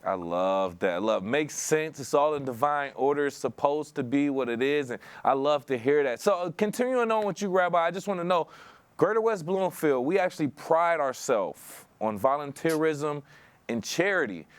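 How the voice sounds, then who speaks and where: A man in his thirties hosts a broadcast interview in a conversational way. His voice sits a little high, and the lapel mic picks it up very dry in the studio. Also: animated, normal speed, clear, resonant tone, dry recording. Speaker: TV and radio show host. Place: indoor studio.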